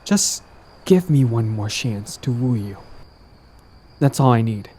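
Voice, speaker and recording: A man's voice: medium pitch, animated, slow pace, a little mellow, a little hiss or hum. A man playing a lovestruck, persistent villain in an intimate romantic audio roleplay, talking casually and coaxingly to the listener, smug yet earnest. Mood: hopeful, flirtatious.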